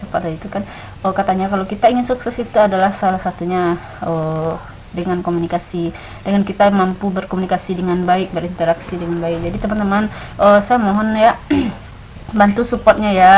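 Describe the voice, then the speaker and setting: A woman's voice: mid-range voice, steady tone, fairly quick, a little mellow, slight background noise, rough recording. A woman in her late twenties, a stay-at-home mother, talking casually to camera with many hesitant fillers and restarts. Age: 20s. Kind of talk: casual talk to camera, practicing storytelling.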